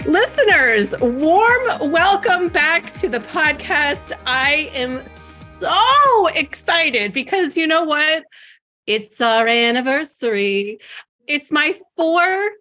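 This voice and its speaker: A woman's voice: sits high, big pitch swings, takes it slowly, sharp tone, faint music behind. A woman hosting her podcast, gushing and bubbly, poking fun at herself in casual chat. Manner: bubbly, gushing, self-deprecating. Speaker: podcast host.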